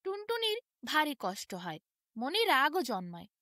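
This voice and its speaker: Woman, bedtime storyteller, bright voice, lively swooping intonation, close dry sound. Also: sits high, a little slow, no room sound. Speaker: children's storyteller. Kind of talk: expressive scripted bedtime-story narration.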